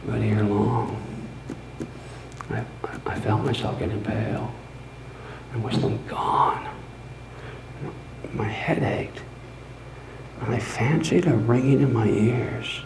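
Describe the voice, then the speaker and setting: A man playing a boastful, unraveling mad murderer in a theatrical live reading of gothic horror, with dramatic pauses and swings between hushed and frantic. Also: on the low side, steady tone, gravelly, voice pushed down. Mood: hushed, anxious. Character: gleeful, boastful, unraveling mad murderer confessing his crime. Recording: echoey room with background noise.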